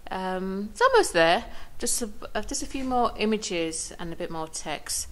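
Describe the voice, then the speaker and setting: A woman giving a casual walking tour of her shop and café, filmed on a handheld phone. She chats in a relaxed way and laughs now and then. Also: medium pitch, moderate pace. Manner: chatty and relaxed, with small laughs. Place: small shop and café, indoors and on the pavement outside. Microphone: handheld phone camera.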